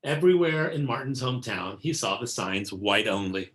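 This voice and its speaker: A man's voice: mid-range voice, expressive, normal speed, fairly bright tone, slight background noise. Man reading a picture book aloud. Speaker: read-aloud narrator. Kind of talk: scripted picture-book read-aloud for children.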